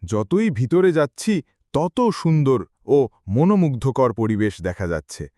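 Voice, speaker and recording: Curious young cartoon hero, voiced by a young man, lively intonation, dry booth. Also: mid-range voice, unhurried, no echo.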